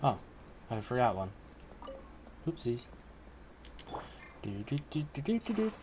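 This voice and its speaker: A man gives casual gaming commentary at an unhurried pace, with an average-pitched voice. He mutters and hums playfully to himself over faint background noise. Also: big pitch swings. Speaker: gaming streamer.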